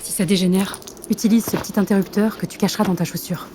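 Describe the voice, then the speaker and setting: An actress playing a blunt but caring intelligence agent in an audio drama, frank and slangy. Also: female voice, mid-range voice, fairly even intonation, fast, background noise, close to an everyday voice. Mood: frank concern.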